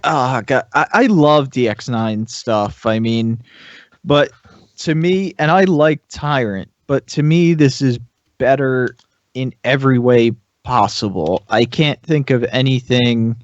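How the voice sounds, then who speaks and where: A man's voice: mid-range voice, slow. Man, podcast panelist, casual toy review, hesitant delivery, lo-fi dull sound, no room echo. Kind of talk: casual chat.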